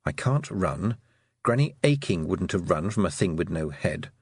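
A man's voice: sits low, very animated, moderate pace, breathy edge, no echo, studio quality, voice pushed down, heavily acted. A man voicing a brave, stubborn young girl in a storybook, plain-spoken and urgent. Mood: determined.

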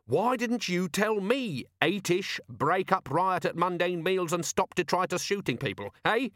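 A worn-out, exasperated watch commander grumbles and rants, as a male narrator plays him, his voice rising and dropping with lively swings. He sounds close and dry, tired and grumbling. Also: high-pitched, measured pace, no echo, voice pushed up.

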